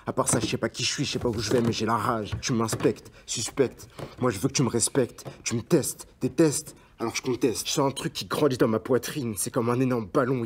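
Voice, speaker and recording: An actor voicing a young man spilling out pent-up rage in a slam poem, his mid-pitched voice beating out a punchy rhythm. He talks in street slang, over a faint hiss of noise. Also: natural voice. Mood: furious. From France. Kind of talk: performed slam poetry, film drama.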